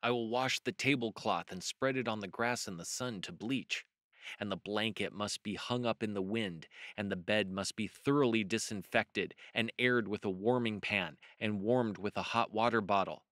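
A man's voice: lowish voice, a bit bright, no echo, clean studio sound, lightly acted. Man as a fussy, scheming storybook fox. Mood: gloating, fussy. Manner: fastidious, emphatic, scheming. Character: fussy, vengeful, disagreeable adult male fox. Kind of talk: children's storybook read-aloud.

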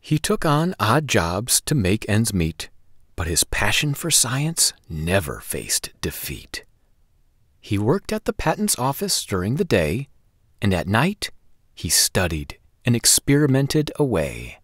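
A man in his forties hosting a children's storytime, reading aloud in an expressive, deliberate, sing-song way. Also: fairly low pitch, no room sound, studio quality. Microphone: lapel microphone.

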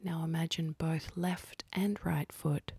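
A woman leading a guided meditation in a soft, husky, breathy voice, speaking calmly and gently with unhurried pauses. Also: sits low, dry recording. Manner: calm, gentle, unhurried instructing with long pauses.

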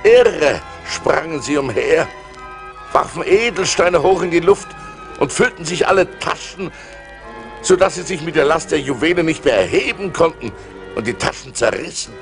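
An actor playing a male seafarer who tells his adventures in a slow, theatrical radio-play style, with dramatic swings, on an old vinyl record. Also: a little high, animated, faint music behind. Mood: excited. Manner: dramatic, slow, swinging between hushed and exclaiming.

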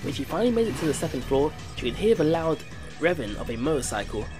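Lively man reading game narration. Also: fairly high pitch, quick pace. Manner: reads with small stumbles and restarts. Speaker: let's play narrator. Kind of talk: reading game text aloud. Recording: game music playing behind.

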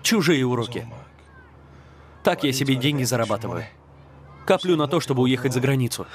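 A man's voice: mid-range voice, expressive, a little fast, crowd noise, natural voice. A man voicing a cheeky, defensive working-class young man with slangy speech in a Russian TV drama dub. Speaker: dubbing actor.

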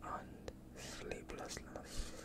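Man whispering as a slow, soothing vampire doctor. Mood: calm, reassuring. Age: young adult. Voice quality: breathy, unvoiced whisper. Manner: slow, hushed, reassuring, close to the listener. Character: soothing, sinister male vampire doctor hungry for blood. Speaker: ASMR performer. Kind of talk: whispered ASMR roleplay.

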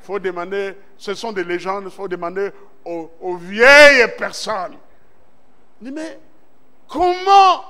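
High-voiced preaching pastor from Central Congo. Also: male voice, pushed and bright. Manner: preaching with emphatic swells and call-and-response amens. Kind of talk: sermon. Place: church service.

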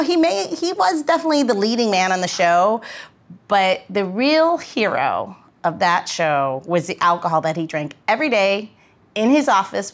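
A woman hosting a podcast in a casual, chatty style. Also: mid-range voice. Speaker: podcast host.

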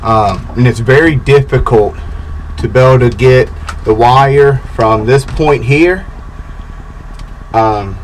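A man's voice: medium pitch, slow, long pauses, slight background noise, rough recording. Easygoing man explaining a boat job. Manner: relaxed, explaining step by step with fillers. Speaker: boat DIY vlogger. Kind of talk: casual how-to walkthrough. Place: outdoors on a boat in a backyard.

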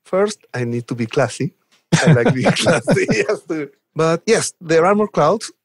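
A man's voice: on the high side, expressive, measured pace, husky, dry recording. A man, chatting informally and enthusiastically as an interview guest. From Chile. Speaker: podcast guest, software developer advocate. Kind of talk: conversational interview answers.

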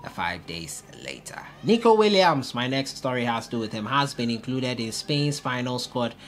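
A young man presenting football news to camera, in a steady reporting manner with frequent "uh" fillers. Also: medium pitch, moderate pace. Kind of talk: solo news vlog to camera. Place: indoor room before a stone-pattern wall.

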